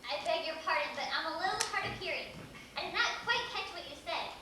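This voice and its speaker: A woman's voice: very high voice, animated, quick pace, background noise. A woman playing a polite young lady in an amateur stage play, speaking precisely and formally. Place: theater stage, recorded from afar. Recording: very echoey theater, distant mic.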